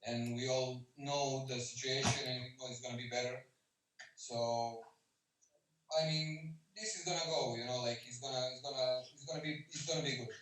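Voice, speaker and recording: A man with a Serbian accent speaks haltingly, often repeating phrases. His voice is bright and mid-pitched, and it comes through very echoey, away from the mic. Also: fairly even intonation. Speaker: team call participant. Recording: echoey, distant online call audio.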